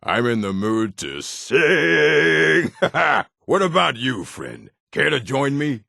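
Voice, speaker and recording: An actor playing a jovial, boastful orc bard in a video game, with a theatrical, sing-song way of talking. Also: male voice, medium pitch, sing-song, takes it slowly, vocal fry, sharp tone, no echo, studio quality, heavily acted. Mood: merry singing. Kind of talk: video game character acting.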